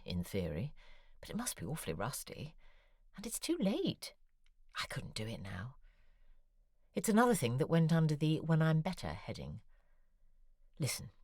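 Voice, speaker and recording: Wry middle-aged lady novelist in an audio drama, really deep voice, swoops around a lot, husky. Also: darkish tone, dry recording, studio quality, heavily acted. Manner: wry, articulate, theatrical, musing. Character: wry, self-deprecating middle-aged female novelist, needling and confiding. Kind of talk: audio drama acting, intimate spoken correspondence.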